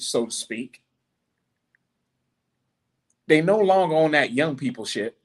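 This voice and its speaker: A middle-aged man hosting a podcast, giving a blunt, preachy monologue with animated asides. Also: a little high. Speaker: podcast host. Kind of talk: casual monologue.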